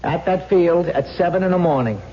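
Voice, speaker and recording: A man's voice: on the high side, lively intonation, brisk, slightly rough. Determined lawman, muffled radio sound. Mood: resolute. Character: determined male lawman set on arresting a killer. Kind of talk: 1970s radio drama acting. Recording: dull 1970s radio recording.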